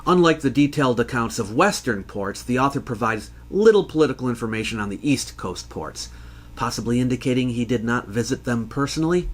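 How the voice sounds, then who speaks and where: Guy with average pitch voice doing a scholarly history explainer, reading from a script, measured. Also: animated. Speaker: history educator and host. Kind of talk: scripted educational narration.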